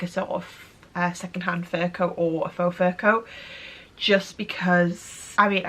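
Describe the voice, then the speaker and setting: A woman's voice: mid-range voice, fairly bright tone. A woman in her thirties with a cold, sounding a little nasal and stuffy, chatting casually in a fashion vlog and often doubling up "really, really". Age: 30s. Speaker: fashion vlogger.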